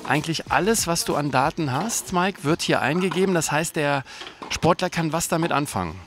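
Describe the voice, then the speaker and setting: A man's voice: on the high side, animated, a little fast, a bit bright, faint music behind. A man hosting a casual sports interview, talking in a chatty, colloquial way. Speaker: host and interviewer.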